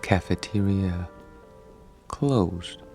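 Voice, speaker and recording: An actor in a radio drama playing a shy, awkward loner, hesitant, stammering and politely apologetic. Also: male voice, sits low, drawn-out words, a little mellow, faint music behind, full character voice.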